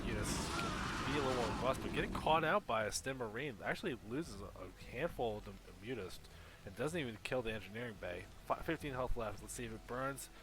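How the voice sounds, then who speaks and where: A man casting a strategy game, giving steady play-by-play in a relaxed way, from a mic set a little away from him. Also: mid-range voice, noisy background. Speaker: esports caster. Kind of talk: live game commentary. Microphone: desk mic set a bit far away.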